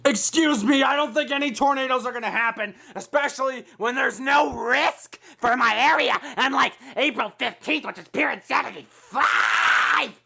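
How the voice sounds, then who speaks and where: A young man hosting a casual hobby show-and-tell, with an excitable manner and a crude, joking streak. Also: extremely high pitch, moderate pace, creaky, hardly any pauses. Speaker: video host.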